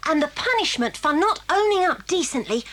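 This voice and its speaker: A woman's voice: very high-pitched, big pitch swings, brisk, a bit bright, exaggerated voice. An actress playing an indignant, plucky schoolgirl in an audio drama, scolding and emphatic. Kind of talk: 1980s audio drama acting.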